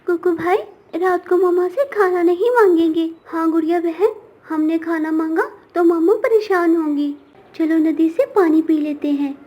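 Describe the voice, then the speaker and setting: A small, hungry, considerate bird child from a cartoon, put on by a woman. The voice is childlike and earnest, pitched well up high. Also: moderate pace, mellow. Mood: hungry, plaintive. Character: hungry, considerate little child birds, brother and sister.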